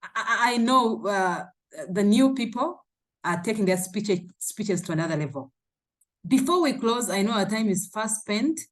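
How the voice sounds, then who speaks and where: A woman addressing a club meeting as its president, in a deliberate, appreciative way. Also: mid-range voice, animated.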